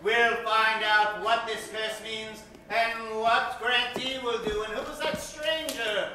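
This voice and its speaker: A man's voice: sits high, fairly even intonation, slow pace, noisy background. A man narrating and announcing in a theatrical, suspenseful old-time radio style. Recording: very echoey, distant stage recording with music.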